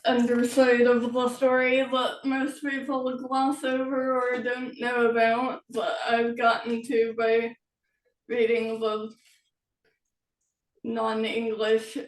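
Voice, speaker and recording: Woman author, casual interview chat, high-pitched and halting, recorded in an echoey room. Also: monotone. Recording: roomy echo, distant from mic.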